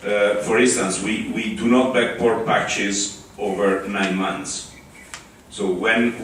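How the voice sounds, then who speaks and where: A man with an Italian accent giving a conference talk, pausing often with fillers and restarts. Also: lowish voice, fairly bright tone, slight background noise. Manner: halting, with many restarts and fillers. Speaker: conference speaker. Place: large conference hall. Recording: echoey hall, far from the mic.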